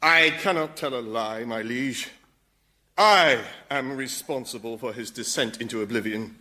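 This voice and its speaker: An actor playing an obsequious, scheming demon servant, florid and melodramatic, in radio sitcom style. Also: male voice, medium pitch, a little slow, sharp tone. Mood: grovelling, sly.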